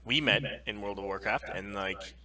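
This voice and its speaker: A man co-hosting a podcast stream, chatting casually and informally in a halting way, recorded some distance from the mic. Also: lowish voice, pushed and bright. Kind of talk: casual chat. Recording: distant from the microphone.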